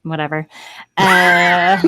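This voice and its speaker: Young woman, casual chat, takes her time, voice a bit low. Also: flat delivery, takes it slowly, sharp tone, rough recording. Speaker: panel guest, voice actor. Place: indoors, video call.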